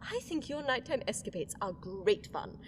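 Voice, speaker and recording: A scheming empress villain who taunts her captives in a sing-song, petulant way, played by a woman. Her pitch swings widely and sits a little high. The voice carries a long reverb with faint ambience behind. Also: sing-song, normal speed, a little hiss or hum. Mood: taunting.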